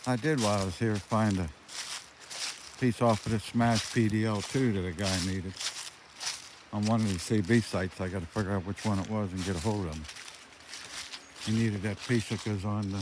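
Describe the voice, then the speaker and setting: An older man with a fairly low voice talks casually as he walks around a farm. He is folksy and plainspoken, often thinking aloud. The amateur recording is outdoors, with very loud ambient noise around his voice. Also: fairly even intonation, normal speed, pauses often. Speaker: vlogger showing his farm. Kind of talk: casual rambling walk-around commentary.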